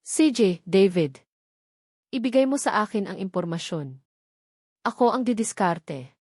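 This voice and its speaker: A woman's voice: medium pitch, expressive, unhurried, a little mellow, no room sound. Policewoman in charge, read by a female narrator: cold, clipped authority, dry recording. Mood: cold, commanding.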